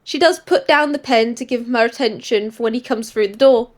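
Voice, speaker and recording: A woman improvising present-tense narration as a player in a role-play story, gushing and expressive. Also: fairly high pitch, a little fast.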